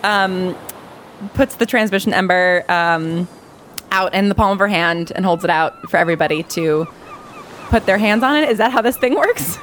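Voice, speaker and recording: A woman improvising as a player in a tabletop game, talking casually and haltingly, amused at herself. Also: mid-range voice, slight background noise. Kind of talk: casual improvised role-play and narration.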